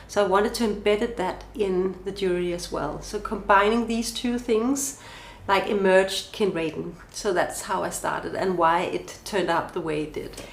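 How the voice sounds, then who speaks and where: A woman's voice: medium pitch, steady tone, measured pace, creaky, hardly any pauses. Woman, Danish accent, thoughtful, lists questions, interview guest, echoey room. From Denmark. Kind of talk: podcast interview answers. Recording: roomy echo.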